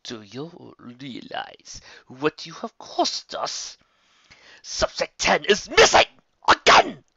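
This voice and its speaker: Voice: mid-range voice, huge pitch swings, slow pace, vocal fry, pushed and bright, slightly rough, no echo, muffled recording, pitched above the performer's own voice, exaggerated voice. A narrator voicing a menacing, enraged male pony villain in a stern, formal, emphatic manner. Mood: furious.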